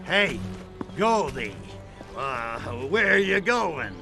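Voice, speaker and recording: A small, sarcastic gray alien with an American drawl. The voice is full of gravel and takes its time, sliding up and down as it cracks wise. It is game cutscene acting with music behind it. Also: male voice, on the high side, sing-song, very slow, pushed and bright, exaggerated voice. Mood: sardonic. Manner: sarcastic, brash, drawling wiseguy. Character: small gray alien invader, cocky wisecracking male.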